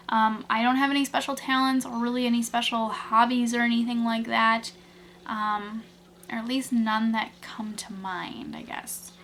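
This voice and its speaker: A woman's voice: on the high side, a bit bright, slight background noise. A young woman from Wisconsin, chatting casually through tag questions, rambling with lots of fillers and restarts. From Wisconsin, USA. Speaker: beauty vlogger.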